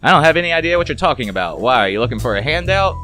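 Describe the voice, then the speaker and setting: A man's voice: on the high side, very animated, racing, pushed and bright, dry recording, soft background music, voice pushed up. A man playing a weary, good-natured ex-cop captain in a noir audio story, with a wry, wisecracking manner. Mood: defensive, dry.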